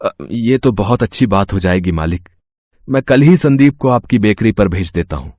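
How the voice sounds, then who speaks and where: A man's voice: on the low side, expressive, moderate pace, darkish tone, no echo. A man playing a poor, humble labourer father in a moral story, speaking in a subdued, deferential way. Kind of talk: dramatised Hindi moral story acting.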